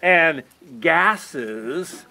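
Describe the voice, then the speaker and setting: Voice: medium pitch, lively intonation, very slow, vocal fry, sharp tone, heavily acted. A puppeteer voicing a cheerful train conductor in a children's puppet show, talking to the kids in an explanatory, sing-song way. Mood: explaining, excited.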